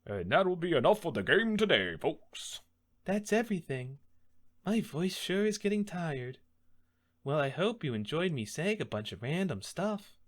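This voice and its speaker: A man's voice: a little high, sing-song, normal speed. A man talking casually to viewers as a voice acting auditionee.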